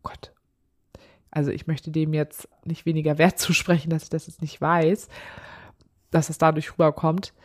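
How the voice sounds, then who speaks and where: Woman, low voice, nasal, candid chatty podcast host. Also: little pitch movement, moderate pace, long pauses, darkish tone. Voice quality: nasal, blocked nose. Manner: chatty, candid, laughs often. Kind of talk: casual solo podcast chat.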